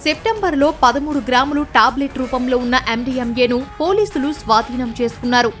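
A woman's voice: high voice, expressive, fast, distant mic, over music. A woman reads scripted TV news narration as a reporter.

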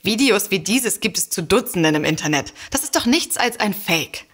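A sceptical writer in an audio drama. Her mid-pitched voice stays polite and matter-of-fact, with a dry edge. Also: animated, moderate pace, fairly bright tone, slightly rough.

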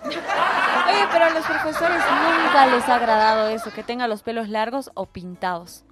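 High-voiced Bolivian woman over loud crowd noise. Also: moderate pace, over loud music. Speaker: radio co-host. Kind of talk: casual comic radio banter.

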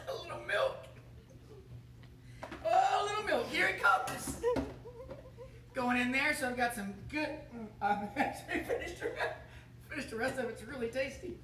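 A man plays a goofy, bumbling male TV chef who rambles and chuckles as he fumbles through a kitchen demo. The voice is bright and sharp, picked up from across an echoey kitchen. A faint room hum sits behind it. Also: very high-pitched, animated, normal speed, long pauses, voice pushed up, heavily acted. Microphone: camera across the room. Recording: echoey room, mic from far off.

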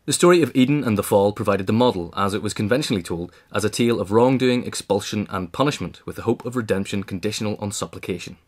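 A man's voice: fairly low pitch, fast talker, hardly any pauses. A man reading scripted nonfiction narration as an audiobook reader. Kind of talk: scripted narration of a political history essay.

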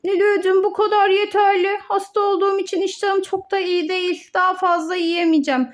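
Woman voicing a high-pitched, poorly little cartoon boy. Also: little pitch movement, brisk. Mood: weary. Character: sick little cartoon boy toy, grateful and weary. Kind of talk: children's pretend play with toys.

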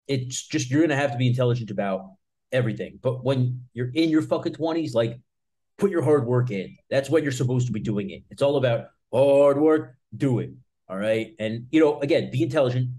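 A man's voice: medium pitch, darkish tone. A man in his mid-thirties giving casual, blunt, emphatic advice to camera as a martial arts channel host, with informal, sweary phrasing.

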